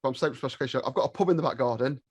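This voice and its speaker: A man's voice: mid-range voice, rapid-fire, darkish tone, husky, no echo. A man with a British accent chatting casually as a podcast guest, sweary and cheeky with a joking manner.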